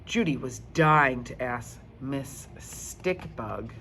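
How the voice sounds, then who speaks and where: Expressive, slow-paced woman reading aloud. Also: extremely low pitch. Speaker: read-aloud storyteller. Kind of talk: expressive children's book narration. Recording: faint background noise.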